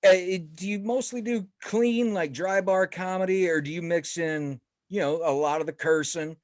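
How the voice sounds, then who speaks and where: Man, interview host, upbeat and chatty, higher pitch, dry room. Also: moderate pace, no echo. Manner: upbeat, chatty, laughs a lot. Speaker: podcast host. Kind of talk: casual interview chat.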